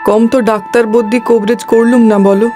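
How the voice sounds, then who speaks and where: A young village wife, played by a woman, plaintive and quick to take offence. Her anxious cartoon dialogue sits over loud music. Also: a little high, little pitch movement, natural voice. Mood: indignant. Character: young married village woman, defensive, anxious daughter-in-law. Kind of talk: Bengali horror cartoon dialogue.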